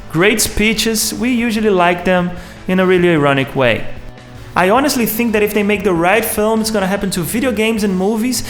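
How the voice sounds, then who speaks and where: High-pitched man narrating a video essay. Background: music.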